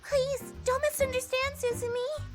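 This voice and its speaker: A woman's voice: very high-pitched, little pitch movement, moderate pace. A woman voicing a meek, girlish young subordinate in a visual novel, polite and timid. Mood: pleading. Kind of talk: cute visual novel voice acting. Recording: loud music over the voice.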